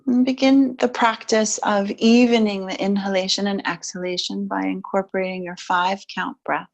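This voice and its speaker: A woman instructor guides a relaxation and gentle stretching practice. Her voice sits at a middle pitch. She offers short, soothing instructions without hurry, leaving long silences between phrases. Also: steady tone, moderate pace, gravelly, dull audio. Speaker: yoga nidra and stretch instructor.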